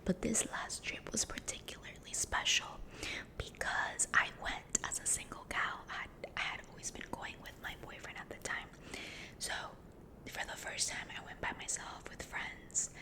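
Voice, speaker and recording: A young woman whispering a rambling story close to the mic, softly repeating words to herself. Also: lowish voice, creaky. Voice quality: unvoiced whisper.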